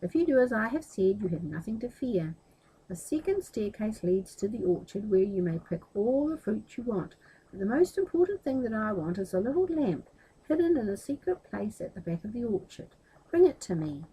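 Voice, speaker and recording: A woman's voice: mid-range voice, big pitch swings, normal speed, warm tone, husky, full character voice, poor audio. A woman voicing a scheming, greedy male magician who instructs and insists, in a storybook reading. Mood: coaxing, warning.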